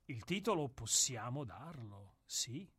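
A man co-hosting a radio programme, casual and playful, with a deliberate, mock-literary way of talking. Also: mid-range voice, big pitch swings, a bit bright, breathy edge, no room sound, clean studio sound. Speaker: radio show co-host.

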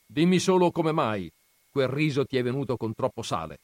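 Guy doing a loud bossy old granddad, storybook reading, dry sound. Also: big pitch swings, moderate pace, dry recording, lightly acted. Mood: commanding. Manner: booming, commanding questioning. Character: stern old Armenian grandfather, patriarch.

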